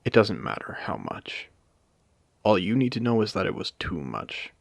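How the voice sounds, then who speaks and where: Casting: male actor as world-weary AI casino owner for audio drama, genteel and theatrical, low voice with swooping, expressive intonation. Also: creaky, dry recording, full character voice. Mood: bitter, recounting. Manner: measured, genteel, theatrical, with pointed pauses. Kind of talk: intimate scripted audio roleplay addressed to the listener.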